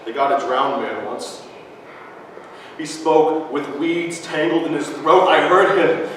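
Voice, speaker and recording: Guy playing a scared angry kid who swears a lot, high voice, stage sound from far back in a big room. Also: fairly even intonation, slow pace, slight background noise. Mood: haunted. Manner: haunted, then frantic shouting and cursing. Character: angry frightened boy named Billy, swearing. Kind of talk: stage monologue. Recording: echoey hall from far off.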